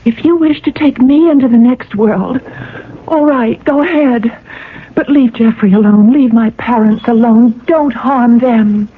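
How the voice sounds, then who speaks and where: A woman's voice: fairly high pitch, unhurried, lightly acted. An actress playing an earnest, brave, pleading woman in a 1980s radio drama, with a dramatic delivery. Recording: tinny, hissy old radio recording.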